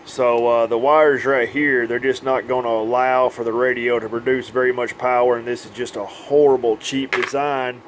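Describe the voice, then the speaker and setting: A man's voice: mid-range voice, level tone, moderate pace, few pauses, slight background noise. A man giving an informal, hands-on update as a radio repair technician, with plain, folksy phrasing. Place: indoors at a repair workbench.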